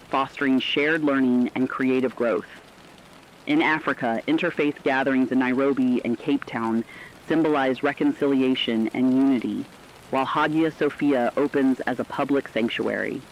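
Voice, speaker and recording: A man with a mid-pitched voice narrates a formal news script as a radio co-anchor. He speaks in a measured way, and the recording is dull and lo-fi. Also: slight background noise.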